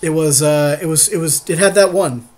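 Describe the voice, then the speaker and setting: Guy with a slightly high voice, casual game chat, agrees and chimes in on the plays. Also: moderate pace, darkish tone. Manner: casual swearing. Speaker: podcast co-host watching and advising on an online card game.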